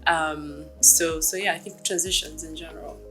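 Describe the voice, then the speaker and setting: A woman in her thirties from Kenya, a startup executive giving reflective, measured interview answers with frequent ums. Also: low-pitched, fairly even intonation, music in the background, rough recording.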